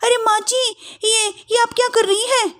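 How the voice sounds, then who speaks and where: A woman's voice: very high voice, flat delivery, normal speed, fairly bright tone, pitched above the performer's own voice. A woman voicing an earnest young city-bred daughter-in-law in a story cartoon, polite but easily startled and exasperated. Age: young adult. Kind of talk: moral-story cartoon voice acting.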